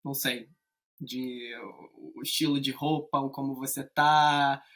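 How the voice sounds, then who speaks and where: Bright-voiced man with a Carioca accent. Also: medium pitch, flat delivery, measured pace. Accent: Carioca Brazilian Portuguese. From Rio de Janeiro, Brazil. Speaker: guest. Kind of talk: casual conversation.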